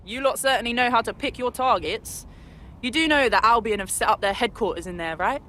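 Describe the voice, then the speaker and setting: A woman's voice: on the high side, fairly quick, bright, forceful tone. An actress playing a blunt, sweary female pub worker helping the resistance. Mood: wry.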